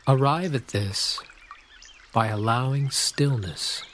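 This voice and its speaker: A man narrating a guided meditation, calm and unhurried, speaking in short scripted phrases with long pauses. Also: mid-range voice. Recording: clear nature-sound noise under voice. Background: birdsong and pouring water.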